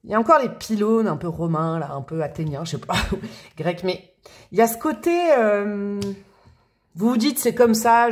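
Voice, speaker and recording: Chatty woman reading tarot. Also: mid-range voice. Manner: chatty and expressive, with playful emphasis and laughs. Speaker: tarot reader. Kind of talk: casual tarot reading to camera.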